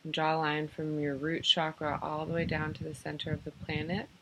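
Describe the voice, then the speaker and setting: A woman leading a guided meditation in an unhurried, soothing manner, giving gentle improvised instructions in short phrases with pauses. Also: low-pitched, monotone, background noise. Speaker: guided meditation teacher and host.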